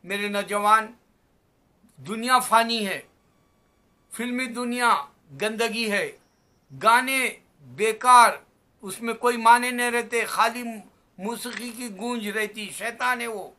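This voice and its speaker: Older guy in his 60s, really high sharp voice, slow emphatic religious talk into a webcam. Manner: emphatic, exhortatory, measured phrases. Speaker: religious vlogger. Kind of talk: informal religious talk to camera. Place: small indoor room at home. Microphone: webcam or phone at arm's length.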